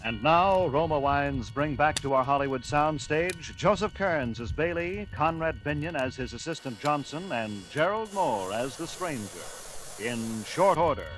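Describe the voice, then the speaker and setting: A man announcing in a polished, formal 1940s radio style, selling with measured, deliberate phrasing. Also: on the high side, lively intonation, over music. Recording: hissy vintage radio broadcast.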